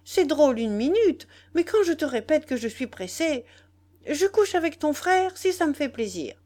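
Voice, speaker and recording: A woman's voice: high-pitched, animated, a little slow, darkish tone, voice pushed up. A woman voicing a brash, impatient young kept woman in audiobook dialogue, scolding in an exclamatory, slangy way. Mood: angry, impatient.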